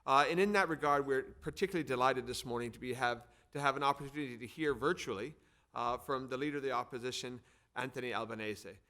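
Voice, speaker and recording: A man's voice: medium pitch, quick pace, bright, forceful tone. A middle-aged man from the United States with an American accent, hosting an event with a semi-formal, partly off-the-cuff speech, repeating words for emphasis. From United States, Arizona. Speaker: think tank head hosting and introducing speakers.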